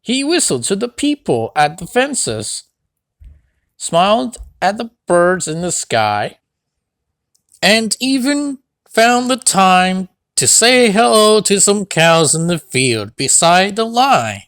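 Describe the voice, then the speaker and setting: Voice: medium pitch, big pitch swings, slow, fairly bright tone, dry recording, clean studio sound. A storybook narrator reading a scripted children's read-along tale.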